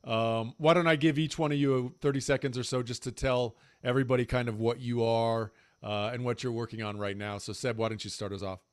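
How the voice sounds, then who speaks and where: A man's voice: medium pitch, steady tone, brisk, hardly any pauses, clean tone, dry recording, studio quality. Chummy man hosting a video panel. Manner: upbeat, chummy, loose with fillers. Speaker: panel host. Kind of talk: casual video-call panel moderation. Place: home room with shelves. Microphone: large desk mic on video call.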